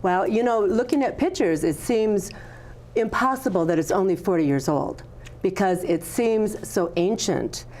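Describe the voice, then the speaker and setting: A woman's voice: mid-range voice, expressive, a little slow, darkish tone, low-quality recording. A woman hosting an interview show, friendly and welcoming, affirming her guest. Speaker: talk show host.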